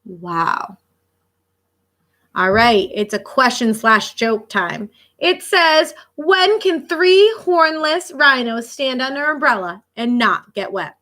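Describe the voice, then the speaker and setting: A woman reading a children's nonfiction book aloud like an elementary teacher, expressive and child-directed, pausing for casual asides. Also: fairly high pitch.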